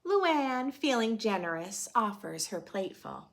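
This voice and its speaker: Animated, unhurried woman reading to young children. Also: mid-range voice. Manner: animated, dramatic, questioning, with simple explanations for preschoolers. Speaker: children's read-aloud host and teacher. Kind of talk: expressive picture-book read-aloud with teaching asides for young children.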